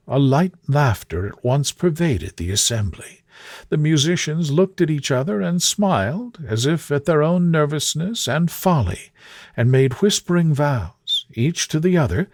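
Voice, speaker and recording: A man narrating a gothic bedtime story in a soothing, measured storytelling manner. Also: on the high side, no echo, clean studio sound.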